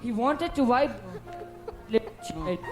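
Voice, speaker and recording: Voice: medium pitch, sing-song, unhurried, music in the background. A narrator telling a storybook tale in a theatrical style on stage.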